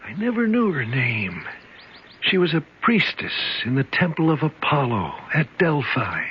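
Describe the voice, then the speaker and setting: A man's voice: fairly high pitch, big pitch swings, measured pace. An actor playing an older male convict who claims centuries of escapes, earnest, dreamy and rambling. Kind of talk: 1970s radio drama acting. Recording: muffled old radio recording, faint hiss.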